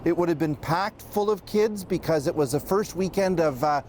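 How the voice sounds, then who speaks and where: A man with a notably high voice reports live as a news correspondent. He speaks off the cuff and haltingly, with many hesitations and restarts. Faint noise sits behind him. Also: darkish tone.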